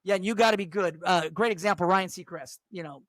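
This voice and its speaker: A middle-aged man, a radio host, chatting casually and telling stories with a higher voice and lots of restarts. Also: quick pace, a bit bright, no room sound. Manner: chatty, storytelling, frequent restarts. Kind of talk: casual chat.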